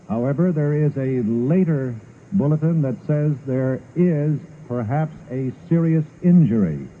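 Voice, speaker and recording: A male network announcer reading a 1960s radio news bulletin in a grave, deliberate way. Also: on the high side. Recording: phone-like, faintly hissy 1960s radio recording.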